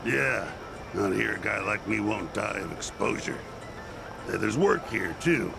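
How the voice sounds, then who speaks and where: An actor playing a sheepish homeless drifter in an English-dubbed video game, with a gravelly voice. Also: male voice, lowish voice, lively intonation, measured pace, over music, exaggerated voice.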